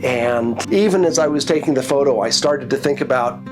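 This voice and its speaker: A man, a nature photographer and host of his own channel, sounds congested from a cold as he tells the stories behind his photos in a relaxed, reflective way. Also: medium pitch, moderate pace, music in the background. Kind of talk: casual narrated photo recap.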